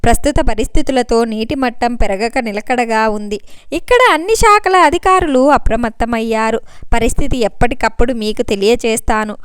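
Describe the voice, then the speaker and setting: Storybook role: composed male government officer acted by a woman, high smooth voice, formal and respectful. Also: moderate pace, no room sound, clean studio sound, natural voice.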